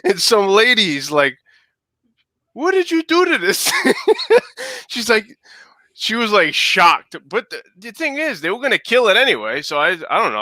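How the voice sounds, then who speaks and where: A man's voice: fairly high pitch, sharp tone, no room sound. A man in his mid-thirties bantering and telling stories casually, blunt and full of swearing. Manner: profane, blunt.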